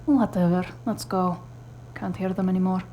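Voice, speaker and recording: A woman's voice: fairly low pitch, fairly even intonation, normal speed, lo-fi sound, slight background noise, natural voice. A woman playing a tough, blunt, sardonic investigator's partner in a cyberpunk noir audio drama. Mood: annoyed. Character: tough, foul-mouthed, sardonic female cyberpunk partner in crime.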